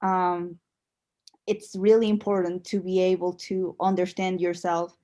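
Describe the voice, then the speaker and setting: A young woman whose first language is Spanish, speaking English with a Spanish accent in a halting way as a panelist over a video call. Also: fairly low pitch, flat delivery, mellow. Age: young adult. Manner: halting, searching for words. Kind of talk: panel discussion.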